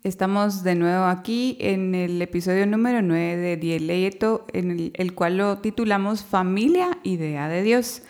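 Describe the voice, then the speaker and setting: A woman's voice: fairly low pitch, measured pace, few pauses, darkish tone. Woman hosting a faith podcast. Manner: hesitant, many fillers and restarts. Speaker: podcast host.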